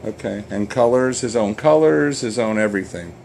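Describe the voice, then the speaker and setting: Gravelly man asking follow-up questions. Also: on the low side, animated, moderate pace, a little mellow, a little hiss or hum. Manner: chatty, asks follow-up questions. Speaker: trainee filming the session. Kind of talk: casual questions.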